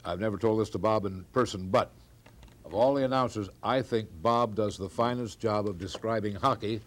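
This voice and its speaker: An older American man, a veteran radio announcer, reminiscing haltingly and clearing his throat as he searches his memory. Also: on the low side. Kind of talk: reminiscing in a group conversation.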